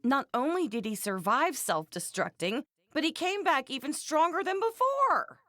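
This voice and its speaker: A woman playing a prim, doting mother in a video game, with an expressive, fussy and emphatic way of talking. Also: high voice, moderate pace, no room sound, studio quality. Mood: puzzled. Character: prim, doting mother, indignant about threats to her sons. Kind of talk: animated video game character dialogue.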